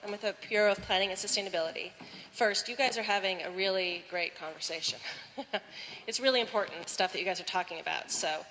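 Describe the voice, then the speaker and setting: A woman with a loud voice giving a brisk, friendly informational presentation as city planning staff at a neighborhood meeting. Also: medium pitch. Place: community meeting room. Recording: reverberant meeting hall, faint room noise.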